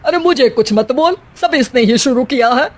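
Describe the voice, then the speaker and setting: A woman's voice: sits high, animated, moderate pace. A woman voicing a bossy middle-aged mother-in-law in a family cartoon, scolding and sarcastic with exclamations. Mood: annoyed.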